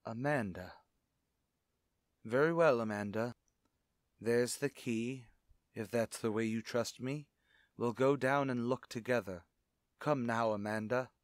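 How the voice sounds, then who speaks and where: A man's voice: medium pitch, a little slow, pauses often, no room sound, studio quality, close to an everyday voice. A man playing a brooding, secretive widowed father in formal, grave, melodramatic old-time radio suspense style. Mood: wounded, restrained. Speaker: radio drama actor.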